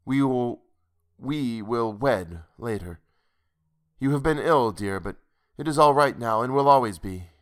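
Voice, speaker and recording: Brief: male reader as devoted young physician, quiet, solemn and tender, storybook romantic lead, medium pitch. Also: animated, measured pace, close to an everyday voice. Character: young doctor, earnest, devoted, desperately hopeful lover. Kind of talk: literary audiobook character dialogue.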